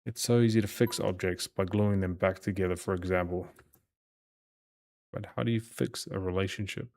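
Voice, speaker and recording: A man's voice: low voice, steady tone, normal speed, vocal fry, darkish tone, a little hiss or hum. A man reading visual novel narration aloud as a let's play host, occasionally stumbling and repeating phrases. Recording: game music behind the voice. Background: horror game music.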